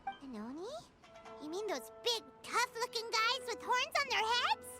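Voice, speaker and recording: A woman voicing a tiny, excitable fairy-like companion in a game, with a very high, squeaky voice. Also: unhurried, exaggerated voice. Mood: wary. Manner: bouncy, exaggerated swoops in pitch. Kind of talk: game voice acting. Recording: game music underneath.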